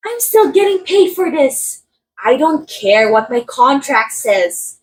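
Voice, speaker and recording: A woman's voice: high voice, very animated, slow pace, full character voice, poor audio. A woman acting as a haughty, catty film actress in an audio roleplay, with a theatrical, sardonic and condescending delivery. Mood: irritated, dismissive. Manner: theatrical, sardonic, drawling some words for emphasis. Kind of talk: ASMR roleplay monologue, voice acting.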